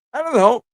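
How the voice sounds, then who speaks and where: A man's voice: a bit bright, no echo, clean studio sound. A man hosting a livestream fan chat, talking in a rambling, informal way with frequent rhetorical tag questions. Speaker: livestream talk show host.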